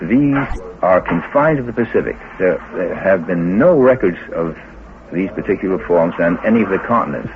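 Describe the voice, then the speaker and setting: Halting, very deep-voiced male scientist, muffled recording. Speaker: scientist interviewee. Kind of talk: unscripted expert interview. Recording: phone-like old recording, chatter behind.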